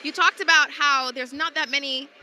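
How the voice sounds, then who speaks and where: A woman's voice: high voice, fairly even intonation, normal speed, pushed and bright, slight background noise. A woman TV reporter in her thirties, bubbly presenter patter into a handheld mic at an indoor event. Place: busy indoor hall at a public event. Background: faint crowd ambience.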